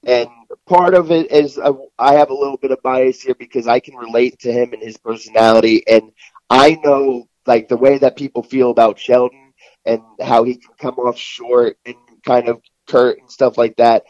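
A man giving casual sports analysis as a guest, in a halting way with repeated words, on a muffled recording. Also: medium pitch, steady tone, darkish tone, no echo. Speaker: guest football reporter. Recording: muffled, lo-fi call sound.

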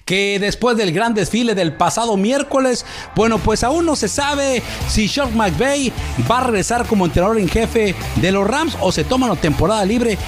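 A man's voice: high voice, expressive, moderate pace. A man presenting scripted sports news in a polished broadcast manner. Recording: music bed under the voice.

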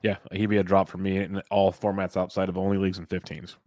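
A man's voice: sits low, completely flat delivery, fast, darkish tone, no echo, clean studio sound. A man in his thirties co-hosting a podcast, chatting easily about baseball players and putting questions to his partner. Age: 30s. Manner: easygoing, chatty, leads topics with questions. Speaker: podcast co-host. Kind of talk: casual fantasy baseball chat.

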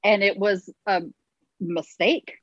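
A woman's voice: mid-range voice, steady tone, slow, a bit bright, no room sound, lo-fi sound. Playful woman co-hosting, dry close sound. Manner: playful, laughing. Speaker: podcast co-host. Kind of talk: casual chat.

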